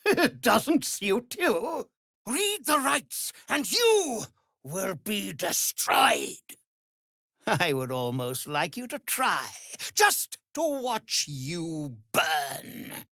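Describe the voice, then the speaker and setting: An evil pompous vampire lord, game villain, sneering, drawn out and gravelly, super theatrical. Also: male voice, very high-pitched, bright, forceful tone, no room sound, clean studio sound, exaggerated voice. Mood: sneering menace.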